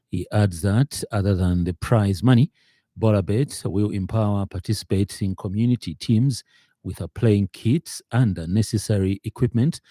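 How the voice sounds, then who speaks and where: A male newsreader reads the bulletin in a steady, formal manner from a radio studio. His voice sits low and very dark. The studio microphone gives a close, dry sound. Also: no echo. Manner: measured, formal delivery. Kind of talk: radio news bulletin reading.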